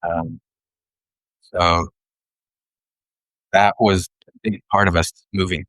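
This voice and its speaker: Low bright-voiced Swedish man, reflective. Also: level tone, no echo, low-quality recording. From Sweden. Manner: thoughtful, unhurried, with frequent pauses and restarts. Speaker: guest. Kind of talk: reflective interview conversation.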